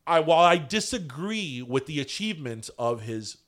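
Podcast guy w cuban american accent, slightly high voice, mixes in spanish, very animated. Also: moderate pace. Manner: animated, drops into Spanish phrases. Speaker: podcast co-host. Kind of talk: casual chat.

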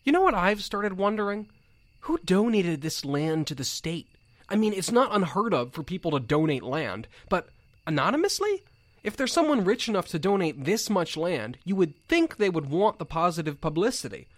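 A man's voice: sits high, big pitch swings, normal speed, no echo. A man playing a nerdy, earnest field researcher rambling into an audio log in a drama, with insects behind him. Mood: wistful, musing. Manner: rambling, hesitant, exclaiming.

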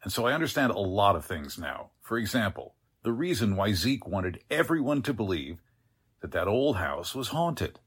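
Husky, lowish-voiced mild doctor played by a man. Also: sing-song, moderate pace, a bit bright, full character voice. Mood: sober, explaining. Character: flustered, mild, well-meaning male small-town doctor. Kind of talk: 1940s radio drama acting.

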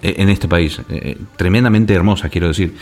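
A man's voice: low voice, fairly quick. Man from Argentina telling stories. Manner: animated storyteller with many restarts and fillers. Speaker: radio guest. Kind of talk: casual radio conversation.

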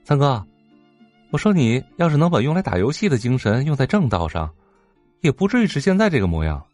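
Young male sidekick played by a man, audio drama: lively swings in pitch, eager and gossipy, exclamatory, close dry recording. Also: brisk, dry recording, soft background music, lightly acted. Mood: earnest. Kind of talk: Chinese audio drama acting.

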